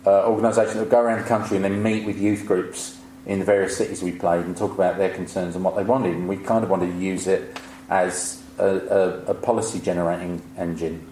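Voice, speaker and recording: A man's voice: low voice, flat delivery, brisk, hardly any pauses, warm tone. A man in his fifties telling stories informally to a live audience, with a sweary, wry, ranting manner. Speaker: comedian speaking on stage. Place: indoor event before an audience. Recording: echoey live room with clear background noise.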